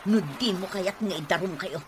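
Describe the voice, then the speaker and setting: A woman's voice: medium pitch, normal speed, background noise, lightly acted. A woman playing a betrayed, suspicious wife in an Ilocano radio drama, emotional, accusing and pleading. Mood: angry, accusing. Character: betrayed wife, suspicious, wounded, confronting husband and mistress.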